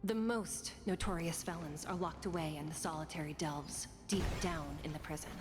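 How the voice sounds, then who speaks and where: A woman's voice: sits low, steady tone, moderate pace, lightly acted. A woman voicing a formal, composed official guiding visitors in a video game. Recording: loud game music behind.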